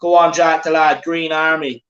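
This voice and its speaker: A man's voice: a little high, little pitch movement, moderate pace, fairly bright tone, echoey room, muffled recording. A man hosting a football watchalong, chatting casually and reading out viewer comments. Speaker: watchalong host.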